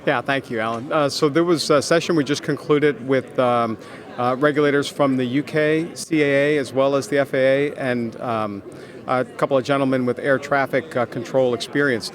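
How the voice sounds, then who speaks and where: Halting male executive being interviewed. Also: medium pitch, steady tone. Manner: halting, hesitant phrasing with many repeated words. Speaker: consultancy executive, interview guest. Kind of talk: explanatory interview answers.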